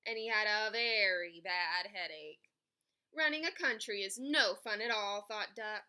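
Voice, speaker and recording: A woman reading a story aloud for children in an expressive, emphatic way. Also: mid-range voice, a little slow, clean tone. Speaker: picture book reader.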